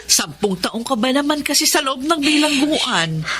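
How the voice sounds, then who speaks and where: Bright, animated Filipino mother. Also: sits high, normal speed. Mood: cheerful, bustling. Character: warm, emotional middle-aged mother and devoted wife. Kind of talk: Filipino radio drama acting.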